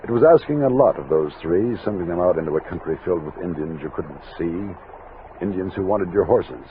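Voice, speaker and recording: An actor playing a steady army captain who narrates and gives firm orders in a 1960s Western radio drama. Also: male voice, deep voice, a little fast. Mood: measured, reflective. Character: steady commanding army captain leading a frontier expedition. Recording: thin lo-fi old radio recording, hiss.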